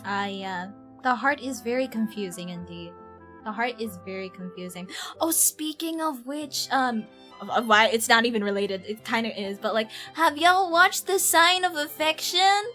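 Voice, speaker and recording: High-pitched woman, casual game stream commentary, expressive, repetitive phrasing, soft music behind. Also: normal speed. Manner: giggly, expressive, repeats phrases. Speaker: game livestreamer. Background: faint game music.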